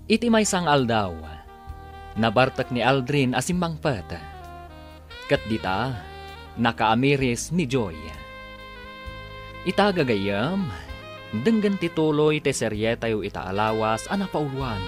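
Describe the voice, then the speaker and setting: Guy narrating a radio soap, dramatic, lively pitch, faint music under.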